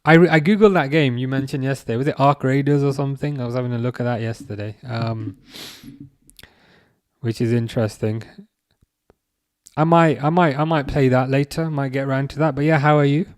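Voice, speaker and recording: A man hosting a live watch-along stream, chatting casually with viewers in a relaxed, hesitant way with plenty of fillers. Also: medium pitch, fairly quick, no room sound, clean studio sound. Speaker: livestream host reading chat.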